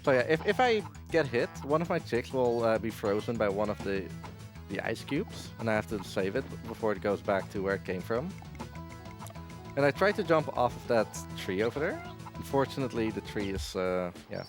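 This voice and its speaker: A man's voice: on the low side, expressive, normal speed. A man giving casual live speedrun commentary at a gaming marathon as he plays. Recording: game music behind the voice.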